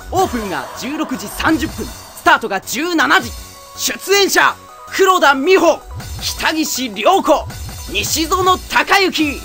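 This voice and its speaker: Female announcer, high pitch, scripted event promo with faint music behind. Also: fairly bright tone.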